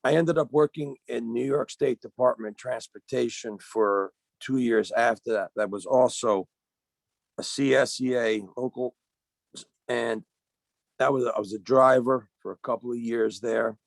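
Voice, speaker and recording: A man speaking as a union organizer on a video-call panel, halting and searching for words while losing his voice. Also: medium pitch, steady tone, vocal fry, no echo. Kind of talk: panel remarks on a webinar.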